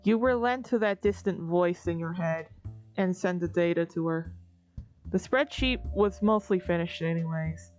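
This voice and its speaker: Female narrator for comic dub: lowish, dry and weary, slightly dull recording with soft music underneath. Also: moderate pace. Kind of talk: second-person scripted comic narration.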